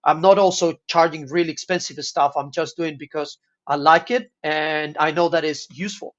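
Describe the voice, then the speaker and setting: Chilean guy, spanish accent, kinda high voice, stops and starts a lot, muffled call audio. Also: a bit bright. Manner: halting, searching for words, friendly. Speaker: team supporter and maker. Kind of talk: casual video call chat.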